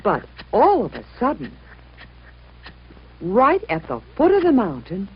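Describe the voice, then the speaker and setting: A woman tells a children's story with lively swings of pitch and dramatic emphasis. She takes her time over the words. The sound is dull and lo-fi, with clear music behind her. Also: measured pace. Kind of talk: expressive scripted children's story narration.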